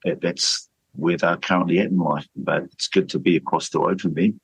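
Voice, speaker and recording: A man's voice: low voice, steady tone, normal speed, gravelly, slightly rough, dry recording, rough recording. A man leading a church, speaking earnestly and steadily in a radio interview, with fillers and restarts. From New Zealand. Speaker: church leader.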